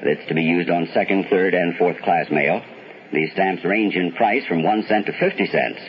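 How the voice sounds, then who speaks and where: A man playing a 1970s radio newscaster, reading bulletins in a measured, formal way with some hesitation over his copy. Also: low voice, slightly rough. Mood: measured, businesslike. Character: steady male radio newsman reading bulletins. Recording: dull, noisy vintage 1970s radio broadcast.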